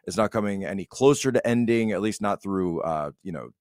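Male political commentator, lowish voice, conversational and emphatic, leaning on repeated phrases, very little room sound. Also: a little fast, a little mellow, dry recording, studio quality. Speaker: podcast guest, political commentator.

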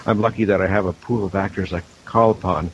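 A man with a fairly low voice talks casually as a panel guest. The recording is dull and lo-fi, with faint hiss behind him. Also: steady tone, quick pace. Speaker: audio drama producer and director, panel guest. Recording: dull lo-fi audio with clear hiss.

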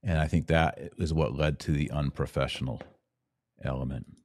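A man with a very low, warm voice talks through comments on a livestream in a casual, conversational way. He drops in wry asides. The sound is dry and close. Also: no echo. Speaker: podcast host.

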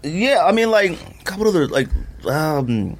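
Brief: male podcast host, lively swooping delivery, slangy and opinionated, casual banter. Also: on the high side, measured pace. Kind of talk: casual sneaker chat.

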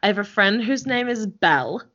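A woman's voice: mid-range voice, animated, moderate pace, fairly bright tone, muffled recording. A woman with a Melbourne Australian accent hosting a podcast in a bubbly, chatty way. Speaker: podcast host. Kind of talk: casual interview chat.

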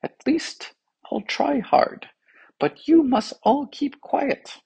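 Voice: high voice, expressive, takes it slowly, creaky, darkish tone, muffled recording, voice pushed up, exaggerated voice. A storyteller voicing a boastful, vengeful talking tongue that gloats slyly in a children's tale. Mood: gloating.

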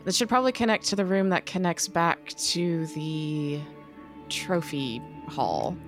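A woman playing a tabletop role-playing game, talking things through in a deliberate, explanatory way. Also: fairly low pitch, lively intonation, soft background music. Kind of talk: casual in-game discussion.

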